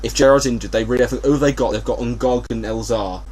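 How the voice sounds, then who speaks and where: A man's voice: medium pitch, quick pace. A man hosting a casual football podcast, talking in a hesitant, jokey way as he thinks aloud. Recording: hum and steady background noise.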